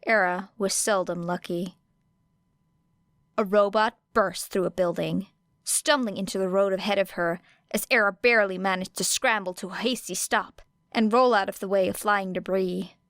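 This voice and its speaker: A woman's voice: medium pitch, fairly even intonation, moderate pace, a bit bright, dry recording. Woman narrating fiction, dry sound. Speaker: audiobook narrator. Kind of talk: expressive scripted fiction narration.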